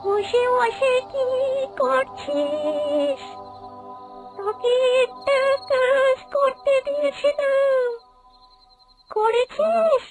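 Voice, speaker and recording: A piercingly high, creeping female ghost for a horror cartoon, cruel and bossy. She drags out every threat in a slow, taunting drawl. Eerie music plays clearly behind her. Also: expressive, exaggerated voice. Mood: scolding. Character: menacing female ghost, cruel, domineering, mocking.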